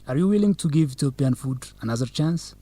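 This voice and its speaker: An actor playing an eager, courteous young student council president in an educational radio drama, polite and direct. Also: male voice, a little high, lively intonation, fast talker, dark tone, dry recording.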